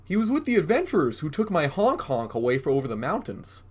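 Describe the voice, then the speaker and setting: A man's voice: on the high side, huge pitch swings, fast, husky, voice pushed up, full character voice. Man putting on an exaggerated storybook hill troll. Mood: aggrieved. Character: male hill troll neighbor in a cave.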